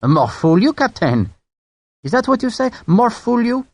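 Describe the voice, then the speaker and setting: A man's voice: very high voice, sing-song, unhurried, no echo, voice pushed up, full character voice. Man voicing a silky French brigadier. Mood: charming. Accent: French with a light Scottish touch. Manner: mild, courteous, quietly charming. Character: suave menacing French brigadier, about forty.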